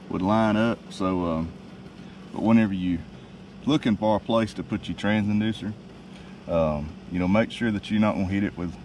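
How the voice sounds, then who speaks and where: A man, casually explaining a how-to job in a driveway with a relaxed, folksy manner and many fillers. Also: low-pitched. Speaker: DIY video host. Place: outdoors in a driveway. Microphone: camera mic. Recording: distant outdoor camera mic with noise.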